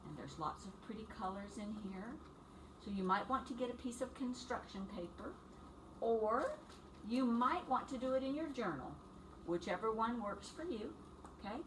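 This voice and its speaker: A woman teacher doing a read-aloud for young children, talking to them in simple, encouraging phrases and questions. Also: medium pitch. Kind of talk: read-aloud story time and instructions to small children. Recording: distant mic with clear background noise.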